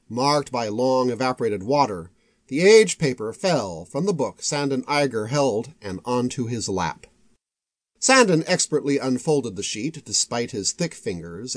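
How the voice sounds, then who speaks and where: A man reading an epic fantasy story aloud as a scripted audiobook narration, in a measured, expressive literary manner. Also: mid-range voice, dry recording.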